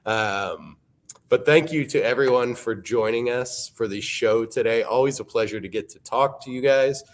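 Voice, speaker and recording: A man, hosting a live auction broadcast in a relaxed, wry, informative way. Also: medium pitch, moderate pace. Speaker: auction show host.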